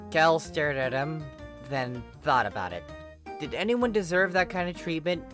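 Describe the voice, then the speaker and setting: A woman narrating a comic story aloud in an expressive reading style. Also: deep voice, moderate pace, over music. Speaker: fan fiction reader.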